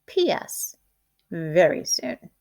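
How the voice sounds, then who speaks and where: A woman's voice: on the low side, very animated, drawn-out words, vocal fry, darkish tone, exaggerated voice. A woman voicing a pompous, insistent male stage performer in a storybook, with grandiose, theatrical declaiming. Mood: formal.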